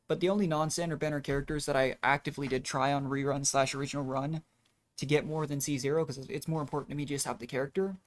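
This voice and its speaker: A game streamer giving casual live commentary, thinking aloud in an offhand way. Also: mid-range voice. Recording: music behind the voice. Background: game music.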